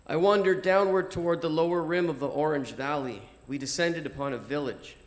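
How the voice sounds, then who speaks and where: A man reading a first-person story as narrator in a measured, theatrical, declamatory manner. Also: a little high. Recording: music behind the voice. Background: music.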